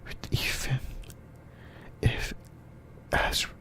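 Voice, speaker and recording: An ASMR host of unclear sex, whispering a scripted explainer in a breathy, halting way, often repeating and correcting phrases. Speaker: host of an anime ASMR channel.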